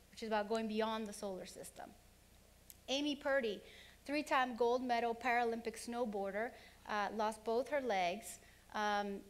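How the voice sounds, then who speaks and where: Earnest woman giving a keynote. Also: on the high side. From Honduras. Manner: earnest, listing examples in quick runs. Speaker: keynote speaker. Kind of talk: conference keynote speech. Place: conference hall.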